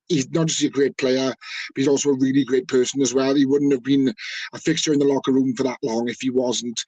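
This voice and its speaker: A man's voice: medium pitch, a little mellow, no room sound, rough recording. A man talking football casually as a podcast guest, rambling and enthusiastic with many fillers. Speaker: guest, team fan.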